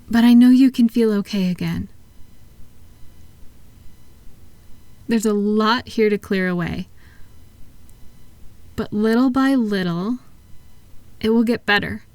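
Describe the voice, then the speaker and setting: A woman playing a kind therapist in a comfort audio roleplay, speaking softly and gently, reassuring and encouraging her patient without hurry. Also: on the high side, unhurried, pauses often, dry recording. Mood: gentle reassurance. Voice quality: soft-spoken. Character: kind, soothing woman therapist guiding a patient with futuristic tablet.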